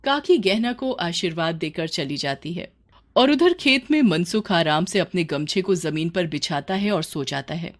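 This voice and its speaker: A woman's voice: on the low side, expressive, moderate pace. A woman storyteller reading scripted narration for a moral tale.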